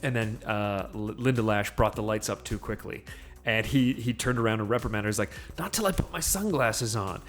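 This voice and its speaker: Lowish-voiced man chatting haltingly about movies. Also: faint music behind. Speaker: podcast panelist. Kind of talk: casual film discussion.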